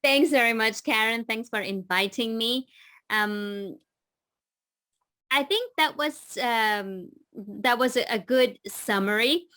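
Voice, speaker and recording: A woman in her forties from the Dominican Republic, a leadership coach speaking as a podcast guest with many halting restarts. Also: on the high side, pushed and bright, no echo, clean studio sound. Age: 40s. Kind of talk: casual interview talk.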